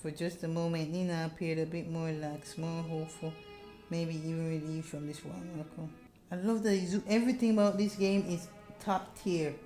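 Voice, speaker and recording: A woman's voice: low-pitched, level tone, moderate pace, dark tone, soft background music. A woman streamer reading a visual novel aloud, with dramatic narration broken by casual asides.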